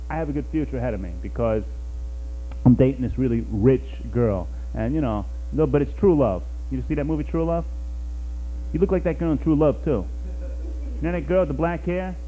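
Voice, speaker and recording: Self-mocking stand-up comic with a mellow voice. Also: male voice, on the high side, rough recording. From New York. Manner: rambling, self-mocking, talks to the crowd. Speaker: stand-up comedian. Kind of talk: stand-up routine. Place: small cafe stage, 1991.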